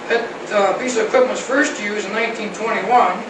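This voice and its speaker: High-voiced American man reading, distant noisy tape. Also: fairly even intonation, brisk. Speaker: fire company captain presenting a history. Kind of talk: reading a prepared history aloud to a meeting. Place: meeting room with a small audience. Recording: noisy old reel-to-reel tape, echoey, distant mic.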